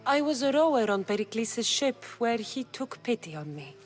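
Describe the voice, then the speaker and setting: A blunt, dry-witted mercenary. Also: female voice, fairly high pitch, big pitch swings, normal speed, soft background music. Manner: dry, blunt, matter-of-fact. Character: blunt tough female mercenary warrior. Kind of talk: video game cutscene acting.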